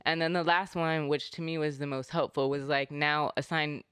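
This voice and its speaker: Male podcast host, a touch higher-pitched, talks loose and filler-heavy with animated asides. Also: normal speed, clear, resonant tone, dry recording, clean studio sound. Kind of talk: casual podcast talk.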